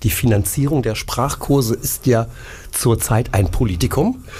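A man's voice: mid-range voice, measured pace, darkish tone, husky. A man interviewing a guest, working through his questions thoughtfully with hypothetical examples. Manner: thoughtful, poses hypothetical cases.

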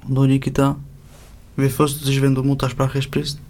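A man's voice: medium pitch, completely flat delivery, normal speed, darkish tone. A man hosting an interview, reading out plain questions evenly.

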